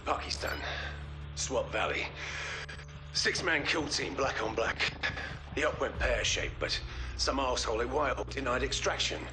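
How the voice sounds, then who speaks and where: An actor with a British accent playing a volatile, traumatized special forces sergeant in a game cutscene, tense and haunted. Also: male voice, mid-range voice, animated, normal speed, long pauses, noisy background, natural voice. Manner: tense and haunted, erupts into anger. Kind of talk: video game cutscene acting.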